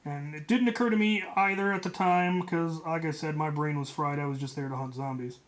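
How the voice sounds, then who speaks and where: A man telling a story in a casual, rambling way as host, leaning deliberately on his key points. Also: on the high side.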